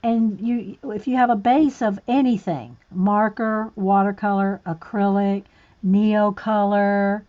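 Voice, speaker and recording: A woman hosting a casual painting livestream, chatting with viewers and muttering soft asides while she works at a table. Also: mid-range voice, dull audio. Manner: chatty, reads and answers viewer comments. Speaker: art livestream host. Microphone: overhead camera on hands and page.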